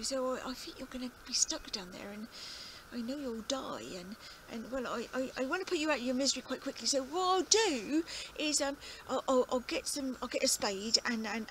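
A woman telling a story plays a kindly old farmer, flustered and fretful, tripping over his words as he worries aloud. His voice sits on the high side. The recording carries noticeable outdoor ambience and birdsong. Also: fairly bright tone, slight background noise, close to an everyday voice, low-quality recording. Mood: resigned. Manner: stammering, fretful, repeats himself.

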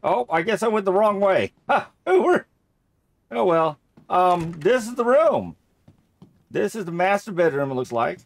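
A man's voice: high-pitched, very animated, unhurried, gravelly, dry recording. A middle-aged man giving casual, rambling, easily amused commentary as he plays a game. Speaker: gamer playing through a game.

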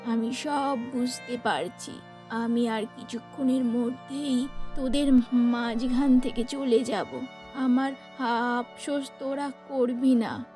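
A bedridden, dying mother in a sad cartoon, acted by a woman. The high voice is weak, slow and halting, lovingly pleading over soft music.

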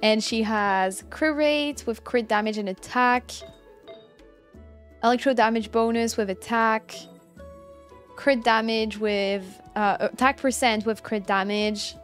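A young woman in her twenties with a fairly high voice, chatting casually on a game stream. Soft game music plays behind her as she talks. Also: fairly even intonation, slow. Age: 20s. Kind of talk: casual live chat while gaming.

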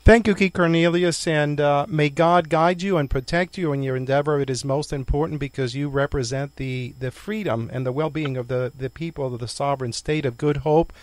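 A man hosting a radio interview who asks his questions in a formal, courteous and deliberate way. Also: on the high side, steady tone, no echo. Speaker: radio show host.

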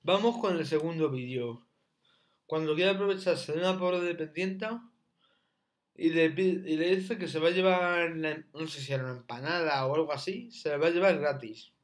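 A young man hosting a reaction video, mocking with loud repeated exclamations as he comments on clips from his room. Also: fairly high pitch, normal speed.

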